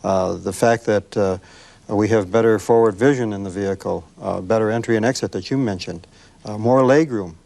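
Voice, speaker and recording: An American man speaks in a low voice in a panel discussion. His delivery is measured and halting, with formal corporate phrasing. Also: gravelly, a little mellow. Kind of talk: panel discussion about product development.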